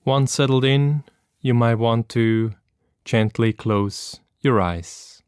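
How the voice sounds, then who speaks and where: A man leading a guided meditation as an instructor, speaking calmly and gently with long, unhurried pauses. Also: mid-range voice, smooth, no echo, studio quality.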